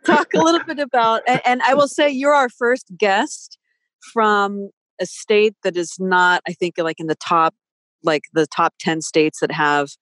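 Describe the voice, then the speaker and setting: A woman's voice: medium pitch, measured pace, fairly bright tone, no echo, poor audio. A woman co-hosting a podcast, asking a guest questions in a casual, conversational way. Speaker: podcast co-host.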